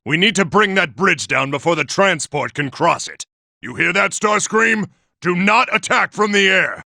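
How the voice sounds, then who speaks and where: An actor playing a commanding, impatient giant robot military tactician in a video game, with a gravelly, raspy voice and a forceful delivery. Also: male voice, high voice, lively intonation, normal speed, no room sound, clean studio sound, exaggerated voice. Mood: commanding, tense. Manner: forceful, barking orders. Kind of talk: video game character acting.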